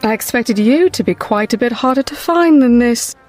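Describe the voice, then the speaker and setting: An actress playing a composed, earnest woman who retrieves occult books, with measured delivery in an audio drama. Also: fairly high pitch, lively intonation, darkish tone, faint music behind, natural voice. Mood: puzzled, affronted.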